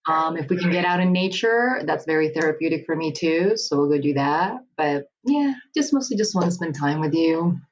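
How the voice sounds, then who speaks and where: A woman in her forties with a notably low voice, speaking openly and laughing easily in a relaxed conversation. The recording sounds a little dull, with faint noise underneath. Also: normal speed, hardly any pauses. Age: 40s. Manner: candid, laughs often. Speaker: guest. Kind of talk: casual conversation.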